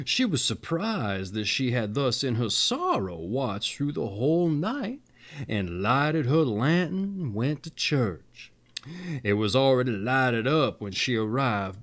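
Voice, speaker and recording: A man in his thirties reading fairy tales aloud expressively, with theatrical emphasis, drawn-out words and folksy asides. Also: mid-range voice. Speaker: storyteller, show host.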